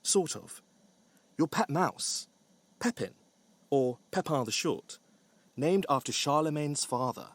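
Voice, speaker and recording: A man narrating a scripted story with wry, dry humour. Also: medium pitch.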